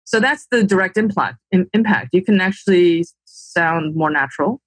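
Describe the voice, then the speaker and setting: A woman's voice: lowish voice, animated, moderate pace, breathy edge, dry recording, poor audio. A woman in her thirties with a New Jersey accent, a guest on a video-call interview, explaining confidently and tagging her points with questions. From New Jersey, USA. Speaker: podcast guest, tech founder. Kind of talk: casual interview chat. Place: indoor room at home.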